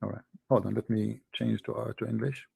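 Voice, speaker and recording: A man's voice: lowish voice, level tone, fairly quick, creaky, darkish tone, breathy edge, no echo. A man hosting a religious debate, pressing his points insistently and repeating himself in nonstandard English. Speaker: debate host.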